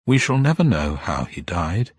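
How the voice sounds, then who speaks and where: A man's voice: low-pitched, very animated, normal speed, husky, no room sound, clean studio sound, heavily acted. A man voicing a little old upper-class woman, genteel, formal, grieving and proud, in an audiobook story. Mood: grave, sorrowful. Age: older. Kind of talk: dramatised audiobook character voice.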